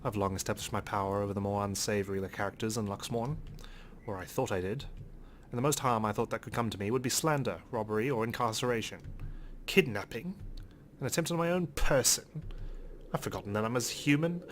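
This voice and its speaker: A man in a lowish voice reads a visual novel aloud, narrating dramatically and breaking off for joking asides. A faint hiss sits under the recording. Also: a little fast. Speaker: let's player.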